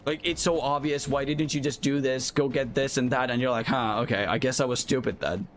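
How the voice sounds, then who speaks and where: A man's voice: medium pitch, fairly even intonation, a little fast, a little hiss or hum. Chatty male streamer. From Finland. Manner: chatty, apologetic, thinks aloud. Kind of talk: live gameplay commentary, reading chat aloud.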